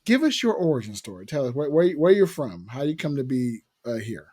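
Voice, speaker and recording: A man's voice: mid-range voice, big pitch swings, a little fast, dark tone, husky. A man hosting a casual podcast interview, rambling and repeating words as he searches for what to say. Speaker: podcast host.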